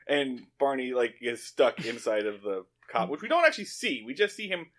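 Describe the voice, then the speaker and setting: Guy podcaster doing a jokey casual recap, really animated intonation, repeats words for emphasis. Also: medium pitch, fairly quick. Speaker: podcast co-host. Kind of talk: casual comedic recap of a TV episode.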